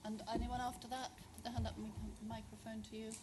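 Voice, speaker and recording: A woman chairing a live talk, briskly and politely calling on audience members for questions. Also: a little high, little pitch movement, darkish tone. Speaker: event chair. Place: event venue before an audience. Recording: distant voice with background noise.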